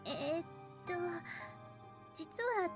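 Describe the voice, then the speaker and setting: A woman voicing a polite, bubbly young maid girl in a Japanese visual novel, softly inquisitive and cutesy. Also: extremely high pitch, steady tone, takes it slowly, over music, heavily acted. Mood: sheepish.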